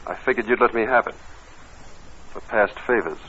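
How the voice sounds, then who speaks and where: A man's voice: lowish voice, moderate pace, a bit bright, slightly rough. An American actor in a vintage radio crime drama, playing a hard-boiled, loyal ex-convict who narrates his story in clipped tough-guy lines. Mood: terse, guarded. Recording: tinny old radio recording with music behind.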